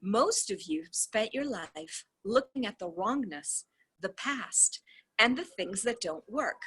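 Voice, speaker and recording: Husky woman reading along expressively. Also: mid-range voice, lively intonation, slow, fairly bright tone. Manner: effusive, gushing delivery. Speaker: host reading a book aloud. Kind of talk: read-along with casual asides.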